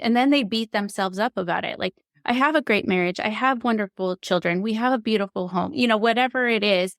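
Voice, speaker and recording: A woman's voice: medium pitch, clean tone, no echo, studio quality. A woman hosting a podcast, affirming and empathetic as she asks her guest open questions. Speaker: podcast host. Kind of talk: conversational interview.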